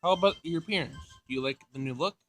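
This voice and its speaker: A man's voice: on the high side, fast talker, a bit bright, faint music behind. A man voicing a proud, fussy robotics professor from a visual novel, chatty and opinionated. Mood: attentive. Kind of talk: visual novel character reading.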